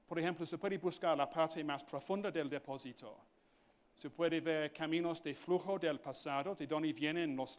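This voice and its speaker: Conferencista con inglés nativo, tono algo agudo. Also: male voice, a little high. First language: English. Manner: halting, slips into English fillers like "so" and "point". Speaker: conference speaker, geophysicist. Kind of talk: conference lecture in non-native Spanish. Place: conference hall.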